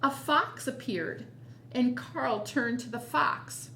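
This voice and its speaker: A woman hosting storytime, reading a children's picture book expressively, with quiet asides. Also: on the high side, takes it slowly, a little hiss or hum, rough recording. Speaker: storytime host.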